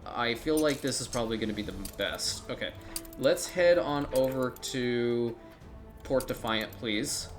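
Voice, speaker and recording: A man's voice: mid-range voice, a little slow, faint music behind. Rambling man narrating his gameplay. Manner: rambling, thinks aloud, frequent restarts and swearing. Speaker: strategy game streamer. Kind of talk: casual gameplay commentary.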